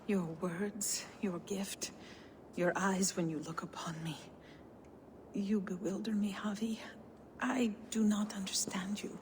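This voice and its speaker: A woman's voice: mid-range voice, steady tone, takes it slowly, a little mellow, close to an everyday voice. A woman playing a dutiful young giantess, a lord's daughter, in a video game, earnest and hesitant. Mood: bewildered, tender. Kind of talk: dramatic video game acting. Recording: game cutscene with clear background noise.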